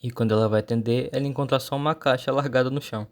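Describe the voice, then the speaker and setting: A man's voice: mid-range voice, steady tone, very fast, a little mellow. A man with a Brazilian accent telling a story as a channel host, in an informal scripted narration.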